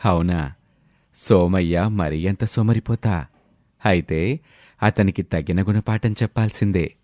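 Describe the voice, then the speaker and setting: A man voicing a calm, authoritative ashram holy man with a solemn, reassuring manner, in an animated moral story. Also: low voice, unhurried, dry recording, studio quality.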